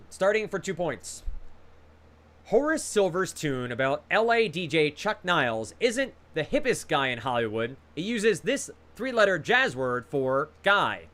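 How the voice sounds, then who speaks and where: Lively, bright-voiced man hosting trivia. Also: a little high, a little slow. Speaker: trivia quiz host. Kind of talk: reading quiz questions with casual banter. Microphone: video call.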